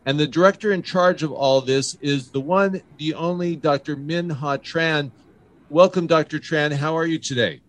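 A man's voice: a little high, normal speed. A man hosting a radio talk show, with an upbeat, emphatic announcer delivery as he interviews a guest.

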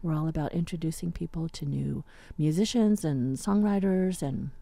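A woman's voice: low voice, normal speed, creaky, dark tone, dry recording. An American woman hosting a radio show, chatting casually and informally. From United States. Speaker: radio host. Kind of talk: casual interview chat. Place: radio studio.

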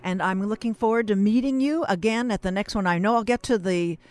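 A woman hosting a radio interview in a conversational, halting, roundabout way. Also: mid-range voice, no echo, soft background music.

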